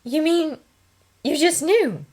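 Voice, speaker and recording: Female reader playing young well-bred nurse: artless, curious, frank. Higher pitch, very animated, audiobook. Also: slow. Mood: hesitant, probing. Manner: artless, forthright. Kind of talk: audiobook character dialogue.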